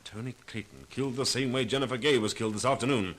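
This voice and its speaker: A man's voice: mid-range voice, fast, close to an everyday voice. An actor playing a bold, cool-headed male sleuth in a clipped, authoritative 1940s radio drama style. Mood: grim. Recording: vintage 1940s radio recording.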